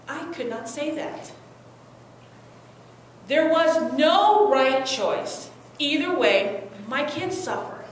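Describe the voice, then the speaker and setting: An anguished, resolute migrant mother played by a young woman tells her story as a staged dramatic monologue. Her voice is high and swings expressively, with slow, deliberate phrasing. It comes from a distance in a very echoey room. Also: a bit bright, exaggerated voice. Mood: reflective, regretful. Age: young adult. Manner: earnest, emotive, deliberate phrasing with dramatic pauses. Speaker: student performer. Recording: very echoey room, distant mic, faint noise.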